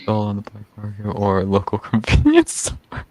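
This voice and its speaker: A man's voice: fairly low pitch, huge pitch swings, normal speed, a little mellow, dry recording. A man chatting casually, as a streamer does during a game. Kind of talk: casual gaming chat. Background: music.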